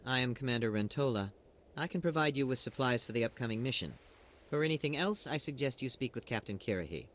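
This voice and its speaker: A man's voice: medium pitch, animated, fast talker, a bit bright, a little hiss or hum, close to an everyday voice. A man playing a curt alien military supply officer in a video game, formal, clipped and dismissive.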